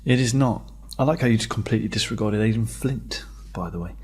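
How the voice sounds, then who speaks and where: A man's voice: fairly low pitch, quick pace, darkish tone, husky. A man co-hosting a football podcast, trading banter and quiz clues in casual chat.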